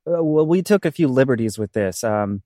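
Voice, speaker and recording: A man's voice: medium pitch, lively intonation, fairly quick, warm tone, no echo, studio quality, natural voice. A man playing a pompous, politely blunt small-town dramaturg in a comedy audio drama. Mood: politely condescending. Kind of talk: comedic audio drama dialogue and verse narration.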